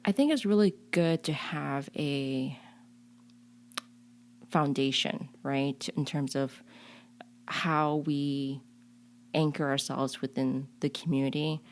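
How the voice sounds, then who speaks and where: A woman's voice: extremely low pitch, takes it slowly, long pauses. A woman raised in Chicago, speaking earnestly and reflectively as an interview guest, often restarting her sentences. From born in a refugee camp on the Cambodia-Thailand border, raised in Chicago. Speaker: guest, community leader. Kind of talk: interview conversation.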